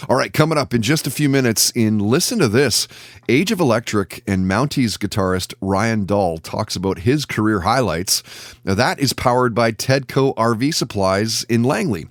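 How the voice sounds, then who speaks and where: A man's voice: fairly low pitch, no echo. A man hosting a podcast, reading sponsor spots and introducing guests. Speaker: podcast host.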